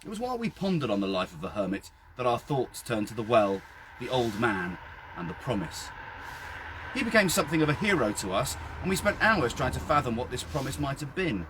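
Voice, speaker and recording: A British man with a husky voice reads a literary first-person story outdoors in a measured, expressive storytelling manner. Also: medium pitch, noisy background. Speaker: author reading own story. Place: outdoors by a well.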